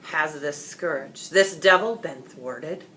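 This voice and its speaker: A woman voicing an authoritative male king in a storybook, speaking in a pompous, formal and deliberate manner. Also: bright, forceful tone, a little hiss or hum, full character voice. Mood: solemn authority. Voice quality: deep, authoritative voice. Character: solemn, commanding old king with sons.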